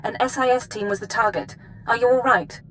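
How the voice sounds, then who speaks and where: Woman acting a brisk intelligence dispatcher, noisy background. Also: a bit bright, natural voice. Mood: urgent, concerned. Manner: efficient, formal, concerned. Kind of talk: dramatic game dialogue. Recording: rumbling noise behind.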